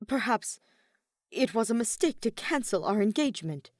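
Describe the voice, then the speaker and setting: Woman playing an earnest, animated young nobleman. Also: on the high side, moderate pace, no echo, clean studio sound, pitched above the performer's own voice. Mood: nervous, earnest. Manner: formal, wordy, insistent. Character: earnest, nervous young nobleman with formal speech. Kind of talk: audiobook-style fan fiction character reading.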